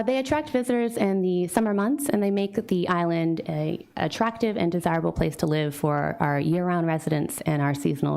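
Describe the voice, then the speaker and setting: A woman's voice: sits low, vocal fry, darkish tone. A woman from Fall River, Massachusetts, a marine scientist giving a prepared public presentation at a town meeting. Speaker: water quality specialist, marine scientist. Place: town meeting room with a microphone.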